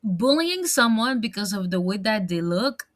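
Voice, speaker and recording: A young woman with a French accent talks straight to the camera in a long personal monologue. Her pitch sits in the middle range for a woman. She rambles, repeats words and leans on fillers, now and then slipping into French. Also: very animated, moderate pace. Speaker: vlogger.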